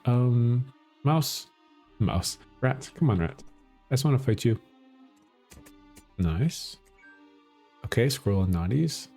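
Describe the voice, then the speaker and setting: A middle-aged man with a Minnesota accent, explaining a game in a relaxed, easygoing way. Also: mid-range voice, slow pace, creaky, pauses often, slightly rough, soft background music. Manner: relaxed, explanatory, chuckles often. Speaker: gaming channel host. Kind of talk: casual gameplay commentary.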